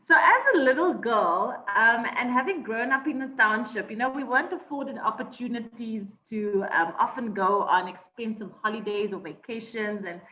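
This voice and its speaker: A woman's voice: fairly high pitch, moderate pace, hardly any pauses, slight background noise. A South African woman telling her life story in an interview, thoughtful, with many ums and restarts. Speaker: guest. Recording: echoey, dull lo-fi sound.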